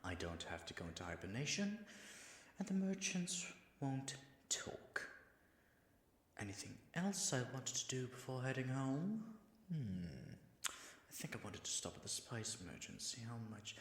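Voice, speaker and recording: A man's voice: mid-range voice, very animated, a little slow, reverberant, slight background noise. A man acting as an all-powerful, self-conscious dragon in disguise, muttering dry, sardonic asides to himself in a scripted audio roleplay. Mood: musing.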